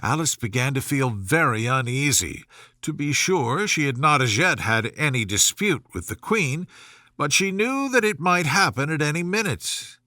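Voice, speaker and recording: Bright, animated male storyteller. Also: fairly high pitch, moderate pace, no echo, clean studio sound. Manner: expressive storyteller. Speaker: story narrator. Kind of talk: scripted children's bedtime story narration.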